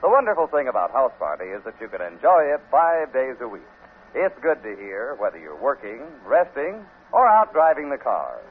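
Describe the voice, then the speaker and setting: Animated radio announcer man, tinny sound. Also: mid-range voice, normal speed, a little hiss or hum. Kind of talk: 1950s radio commercial read. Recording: tinny vintage radio recording.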